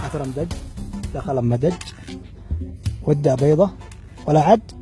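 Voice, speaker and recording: A man's voice: a little high, normal speed, music in the background. A man with a Yemeni accent hosting a street quiz, coaxing people with teasing and laughter. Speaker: street interviewer, show host.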